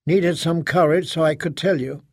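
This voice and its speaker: A frightened young woman in an audiobook drama, acted out by a man. The speech stumbles and pleads in a childlike way. The voice is husky with some fry, recorded very dry and close. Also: on the high side, steady tone, no echo, pitched above the performer's own voice. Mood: nervous, tipsy. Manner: halting, stammering, childlike pleading. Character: frightened, slurring grown daughter, pleading and fragile. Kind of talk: dramatic audiobook character reading.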